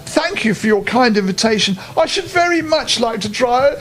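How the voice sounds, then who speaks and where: A man's voice: very high voice, lively intonation, a bit bright, faint music behind, voice pushed up. A man voicing a small, polite, homesick teddy bear in a children's storybook, speaking earnestly. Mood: polite, grateful. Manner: polite, earnest, halting with repeated words.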